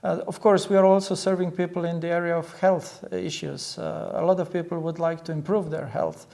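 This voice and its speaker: Guy pastor being interviewed, higher-ish mellow voice, earnest, kinda halting. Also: steady tone, gravelly.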